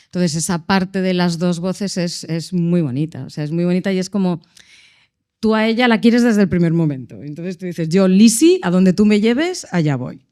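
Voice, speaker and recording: A woman's voice: fairly low pitch, expressive, moderate pace, mellow. A woman speaking on a panel at a public event, explaining decisions in an enthusiastic, upbeat way. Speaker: casting and production lead. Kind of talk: panel discussion answer.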